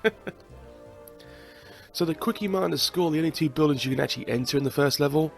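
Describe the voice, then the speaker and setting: A man's voice: on the high side, quick pace, creaky, faint music behind. A young British man with a cold and a blocked nose, casually commentating a video game playthrough, chatty and swearing now and then. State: congested with a cold. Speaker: gaming channel host. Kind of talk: casual let's-play commentary.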